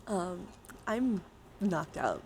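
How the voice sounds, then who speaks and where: A woman's voice: fairly low pitch, big pitch swings, extremely slow. Playful woman livestreamer over background noise. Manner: playful, giggly, swears freely. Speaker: livestream host. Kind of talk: casual livestream chat with viewers during a dice game.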